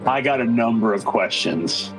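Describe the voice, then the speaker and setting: A man's voice: medium pitch, normal speed, music in the background, low-quality recording. A man chatting and bantering casually as a player in a tabletop game.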